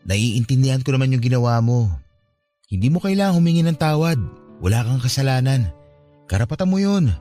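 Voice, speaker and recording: A man's voice: medium pitch, big pitch swings, a little fast, long pauses, mellow, soft background music, close to an everyday voice. Sincere young Filipino ex-boyfriend, acted by a man. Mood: remorseful. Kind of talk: Filipino radio romance drama acting.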